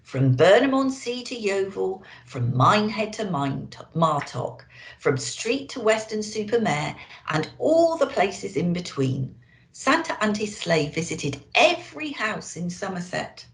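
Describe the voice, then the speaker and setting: A woman reads a storybook to young children with expressive, lively rises and falls. She takes her time over the phrases, in a slightly low voice. The room adds a noticeable echo. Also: a little slow. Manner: expressive, addressing children directly. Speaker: children's storyteller in character as an elf. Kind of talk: animated picture-book reading for young children. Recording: roomy, echoey indoor sound.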